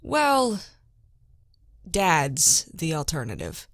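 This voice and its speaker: A woman's voice: sits low, huge pitch swings, drawn-out words, no room sound, studio quality, full character voice. A woman playing a laid-back young mother in an audio drama, talking casually. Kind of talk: podcast audio drama acting.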